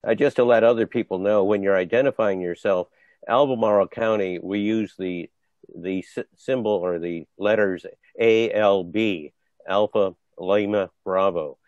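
A man with a very low voice offers informal comments. He explains in a halting way, and the sound is close, dry and somewhat dull. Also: darkish tone, no echo. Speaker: club member commenting. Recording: dull, dry video-call audio.

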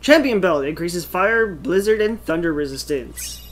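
A man's voice: sits high, lively intonation, normal speed. A man giving casual, playful commentary while he plays a video game. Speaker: gamer commentating on playthrough. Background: game music.

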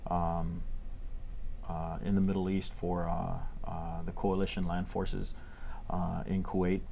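A young man from Tonga, a state legislator answering interview questions in a halting way, full of fillers and restarts. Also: sits low, little pitch movement, creaky, dark tone, a little hiss or hum. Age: young adult. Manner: hesitant, many fillers and restarts. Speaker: legislator guest.

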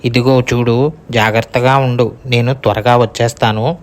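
A man's voice: medium pitch, little pitch movement, normal speed, lightly acted. A man voicing a kind, humble poor woodcutter in a cartoon moral story. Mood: reassuring.

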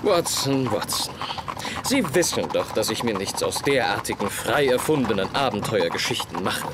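An actor playing an erudite, condescending Victorian detective in a radio play, lecturing in a pedantic, superior way. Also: male voice, fairly low pitch, expressive, moderate pace, very noisy, close to an everyday voice. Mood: dismissive. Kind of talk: German radio play dialogue.

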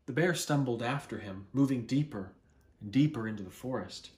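A man in his thirties reading a children's picture book aloud expressively, with dramatic, deliberate pauses. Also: mid-range voice, a little mellow. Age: 30s. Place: small living room at home, indoors.

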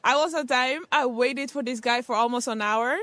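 A woman's voice: on the high side, moderate pace, fairly bright tone, a little hiss or hum. A young woman telling a funny story in a street interview outdoors, playful and laughing easily. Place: outdoors on a city square. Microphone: handheld mic.

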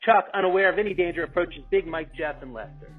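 A man's voice: sits high, fast talker. A man reading out stage directions as narrator at a remote script table read. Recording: dull call audio, music behind.

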